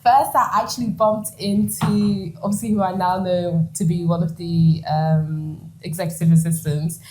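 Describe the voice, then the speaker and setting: Lively young woman, low mellow voice. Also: normal speed, rough recording. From Netherlands. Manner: animated, laughs often, acts out quoted lines. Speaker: guest. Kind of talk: conversational interview storytelling.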